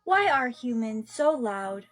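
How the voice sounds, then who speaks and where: A woman's voice: on the high side, big pitch swings, slow, a bit bright, no echo, studio quality, soft background music, full character voice. A woman voicing a naive, curious robot girl in a short skit, plain, literal and questioning. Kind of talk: short comedic skit acting.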